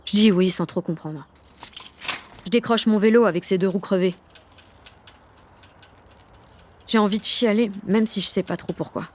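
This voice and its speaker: A woman's voice: medium pitch, brisk, slight background noise, natural voice. An actress playing a rebellious, emotional sixteen-year-old girl who narrates an audio drama in blunt, sarcastic slang. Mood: melancholy. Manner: slangy, blunt teenage delivery. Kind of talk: first-person audio fiction narration.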